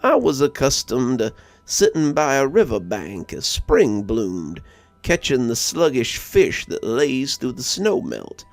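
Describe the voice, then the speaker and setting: A man's voice: mid-range voice, big pitch swings, slow pace, creaky, no echo. A man reading a scripted story as a narrator. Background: music under the voice.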